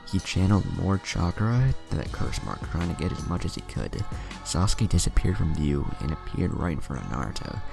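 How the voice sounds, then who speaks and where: A man with a gravelly voice narrating a scripted action story. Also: sing-song, a little fast. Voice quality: very deep, gravelly. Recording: music under the narration. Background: music.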